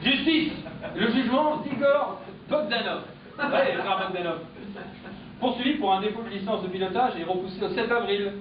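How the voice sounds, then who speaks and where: A man doing stand-up, reading mock news headlines with punchy deadpan punchlines in a live club. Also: sits high, normal speed. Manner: punchy deadpan joke delivery. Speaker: comedian. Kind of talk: stand-up comic press review. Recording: echoey, distant, dull live-club recording with noise.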